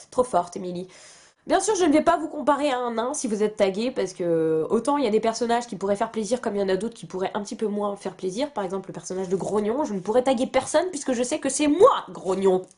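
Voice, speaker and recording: A woman's voice: medium pitch, animated, a little fast, a little mellow. A young woman from France chatting casually to camera about books, with many fillers and restarts and a self-mocking way of talking. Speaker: book vlogger.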